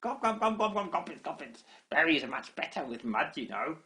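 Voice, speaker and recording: A man voicing a mischievous, gleeful baby girl goblin with a high, squeaky, crowing chant. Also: animated, measured pace, sharp tone. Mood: gloating.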